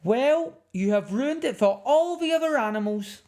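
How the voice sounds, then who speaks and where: A woman's voice: on the high side, lively intonation, moderate pace, a little mellow, voice pushed up, lightly acted. A woman voicing a furious, blustering man who scolds indignantly in a children's storybook. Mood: scolding. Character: furious, indignant grown man, wildlife television presenter.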